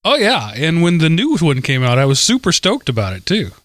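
A man co-hosting a podcast with a fairly high voice that swings up and down a lot as he talks. He sounds upbeat and eager, recorded dry and close. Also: huge pitch swings, fairly quick, a bit bright, no echo. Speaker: podcast co-host. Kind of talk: casual podcast chat.

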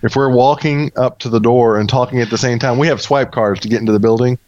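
A man's voice: medium pitch, steady tone, fast talker, darkish tone, muffled recording. A man co-hosting a casual tech podcast, telling anecdotes in an amused, laughing way. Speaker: podcast co-host.

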